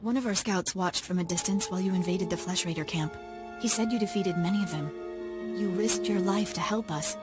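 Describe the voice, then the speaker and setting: A woman's voice: mid-range voice, fairly quick, over music, lightly acted. An actress playing an earnest, grateful native woman leader in a game cutscene.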